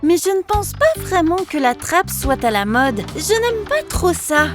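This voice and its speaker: A woman's voice: very high-pitched, sing-song, unhurried, over music, heavily acted. A woman voicing an upbeat, supportive young female pet dog, earnest and friendly, in a children's cartoon dub.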